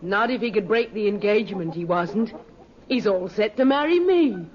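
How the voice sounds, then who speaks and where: A woman's voice: medium pitch, lively intonation, normal speed, close to an everyday voice. A woman playing a brash, sassy young countrywoman in an old-time radio drama. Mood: blunt, confiding. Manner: sassy, blunt. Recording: muffled, noisy old radio recording.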